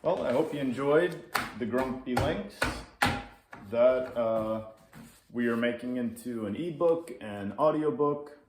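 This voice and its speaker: A man's voice: medium pitch, a little mellow, slight background noise. A man reading and presenting a children's story as its storyteller. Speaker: storyteller and author. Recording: echoey room.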